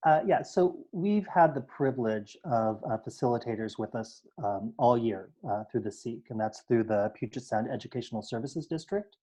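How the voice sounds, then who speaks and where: A man's voice: mid-range voice, expressive, normal speed, few pauses, warm tone. A man explaining emphatically at a board meeting over a video call, with many fillers. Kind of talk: spoken remarks at a board meeting.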